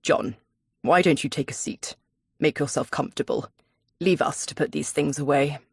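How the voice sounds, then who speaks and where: A woman's voice: low-pitched, moderate pace, gravelly, breathy edge, no echo, clean studio sound, full character voice. A woman voicing a menacing, coolly controlling male villain in a thriller audiobook, taunting and commanding. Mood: falsely polite.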